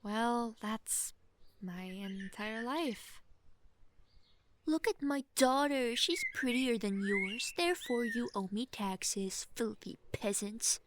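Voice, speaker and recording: A young woman playing a sheltered, lonely princess who is playful, clingy and outspoken, in an expressive, chatty manner for an intimate roleplay audio drama. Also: a little high, slow pace. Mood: wistful, then mocking. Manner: expressive, chatty, swinging from giddy to wistful. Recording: faint background noise, hobbyist home microphone.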